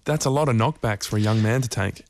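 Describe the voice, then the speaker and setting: A man's voice: fairly low pitch, fast, darkish tone, no room sound, studio quality. A man conducting an informal radio interview, asking probing questions.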